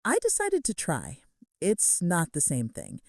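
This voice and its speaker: A woman's voice: fairly low pitch, huge pitch swings, a little slow, vocal fry, a little mellow, no echo, studio quality, heavily acted. A woman voicing a brooding, remorseful young male mafia boss making intense, earnest confessions in a romance audiobook. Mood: earnest, vulnerable.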